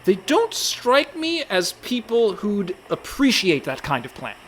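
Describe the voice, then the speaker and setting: High-voiced anxious reluctant con man. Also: wildly swooping pitch, measured pace, slight background noise, exaggerated voice. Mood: gloomy worried. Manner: fretful, dry protests. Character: anxious, reluctant young-sounding con man, male, indignant worrier. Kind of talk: comic radio play acting.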